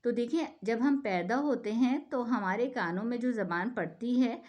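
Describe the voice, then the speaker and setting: A woman's voice: on the high side, normal speed, darkish tone, muffled recording. A woman from Pakistan giving an earnest explanatory talk, often asking rhetorical questions and checking in with "theek hai". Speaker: speaker giving a talk on the national language.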